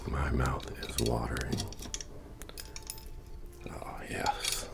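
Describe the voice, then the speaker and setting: A man playing a friendly, humble medieval innkeeper in a fantasy ASMR roleplay, speaking softly and often near a whisper as he tends to a guest. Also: vocal fry, heavily acted. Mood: savouring, soothing. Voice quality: deep, soft, often dropping to whisper. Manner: unhurried, hospitable, murmuring to a guest. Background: soft bard music.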